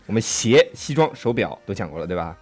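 Lively, chatty man spelling out words. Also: fairly low pitch, fast talker, husky, faint music behind. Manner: checks in with the partner often, spells words letter by letter. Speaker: podcast co-host teaching English. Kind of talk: casual bilingual chat, explaining English words. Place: studio in Sydney.

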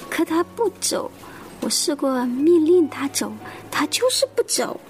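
A woman voicing a fretful monkey who complains in a breathy, exasperated way in a children's story. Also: high-pitched, big pitch swings, measured pace, voice pushed up.

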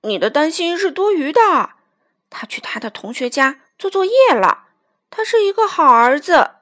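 Woman playing mouse dad, storybook, calm temper, reassuring, high voice, lilting. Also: moderate pace. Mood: confident, comforting.

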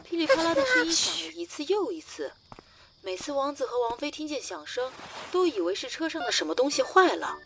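Gentle young woman, lively pitch. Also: fairly quick, very noisy. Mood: tender storytelling. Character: gentle, caring young woman, affectionate with children. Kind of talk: modern audio drama acting.

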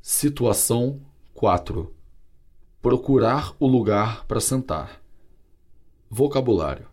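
A man with a Brazilian Portuguese accent reading vocabulary and dialogues for a language course, clear and carefully articulated. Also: mid-range voice, expressive, slow, darkish tone. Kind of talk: slow scripted language-lesson reading.

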